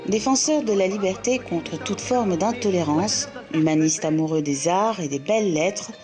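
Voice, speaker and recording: A woman reporter reading a scripted documentary segment in a measured, formal way. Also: fairly low pitch, music in the background.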